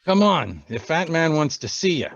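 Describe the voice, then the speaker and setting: A man's voice: fairly high pitch, big pitch swings, moderate pace. An actor playing a pushy young gangster henchman in a 1940s radio drama, curt and ordering. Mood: menacing. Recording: dull video-call audio under music.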